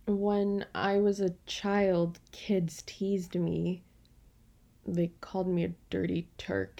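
Defensive woman, radio drama, medium pitch, sardonic, clipped, skeptical, unyielding. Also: vocal fry, warm tone. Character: defensive, stubborn woman of Turkish descent in denial. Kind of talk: radio play acting.

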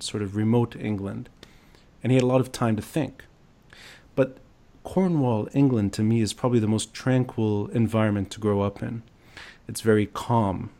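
A man from Lebanon with a fairly low voice hosts a podcast interview. He talks in a thoughtful, conversational way, building his thoughts piece by piece. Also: darkish tone. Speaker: podcast host.

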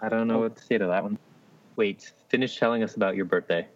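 A man with a fairly low voice banters casually as a podcast co-host. His manner is dry and teasing. The sound is slightly muffled. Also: steady tone, fast talker, a little mellow. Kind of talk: casual chat among friends.

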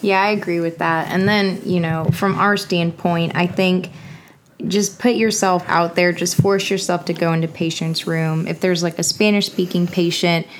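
A woman's voice: fairly low pitch, fairly even intonation, moderate pace, slight background noise. A young woman from Texas with a Texan accent, co-hosting a casual podcast in a chatty, joking way. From Houston, Texas. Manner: chatty, joking, laughs often. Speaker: podcast co-host, medical applicant.

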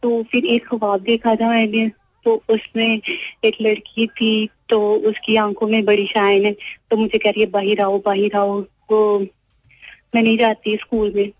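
A young woman on a radio call-in, telling her story in a halting, hesitant way. Her voice stays flat and level with little rise and fall. The sound is dry and close. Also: medium pitch, breathy edge, no room sound, rough recording. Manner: hesitant, many fillers, halting. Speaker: caller. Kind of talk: telling a personal story on air.

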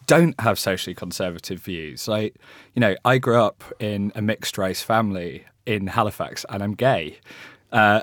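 A man's voice: lowish voice, dry recording, clean studio sound. A young man with a West Yorkshire accent, a panel guest talking in a hesitant, thoughtful, conversational way. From England. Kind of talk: panel discussion.

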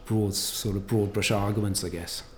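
A man talking in a thoughtful, measured way, with fillers, in a casual academic discussion. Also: fairly low pitch, fairly even intonation.

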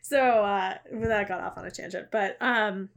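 A woman's voice: mid-range voice, steady tone, unhurried, creaky. A young woman co-hosting a podcast in casual, joking chat, laughing often. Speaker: podcast co-host.

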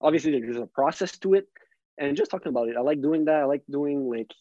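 A man with a French-Canadian accent speaks as a podcast guest, talking earnestly and loosely. His voice sits a little high for a man, and the sound is dry and slightly dull. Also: expressive, fast talker, warm tone, no echo. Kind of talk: casual podcast interview.

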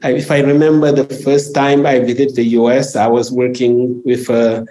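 A man from Senegal, a researcher guest, telling stories in a chatty, halting way. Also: medium pitch, little pitch movement, mellow, poor audio. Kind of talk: interview guest.

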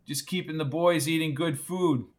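Man reading as an easygoing, high-voiced male coach. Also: fairly even intonation, normal speed, natural voice. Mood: calm, teasing. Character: easygoing, authoritative male team coach, calm and teasing.

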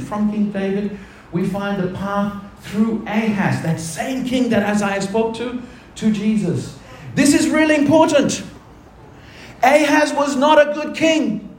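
A man preaching a sermon to his congregation, throwing rhetorical questions at them and shifting between quick asides and slow, low, weighty phrases. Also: darkish tone, echoey room, a little hiss or hum, low-quality recording. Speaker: pastor.

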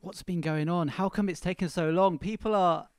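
A man's voice: high voice, steady tone, normal speed, smooth, no room sound, clean studio sound. A man co-hosting a football podcast in a casual, chatty style. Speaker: podcast co-host.

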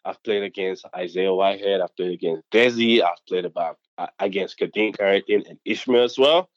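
A young man from Nigeria, speaking English as a second language, chats through his story in a low, lively voice full of fillers. The sound is dry and a little dull, as if coming through a remote link. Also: brisk, no echo. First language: not English. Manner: animated, chatty, many fillers, acts out quotes. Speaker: student athlete guest. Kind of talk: casual interview storytelling.

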